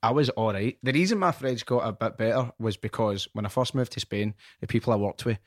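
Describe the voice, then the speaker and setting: A Scottish man hosting a podcast, telling stories in an animated, sweary way during casual chat. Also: on the low side, fairly quick, no echo, clean studio sound. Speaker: podcast host.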